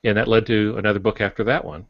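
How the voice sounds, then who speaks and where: Low-voiced man, dry dull recording. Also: steady tone, quick pace, no echo. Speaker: author and podcast panelist. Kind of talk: casual podcast discussion.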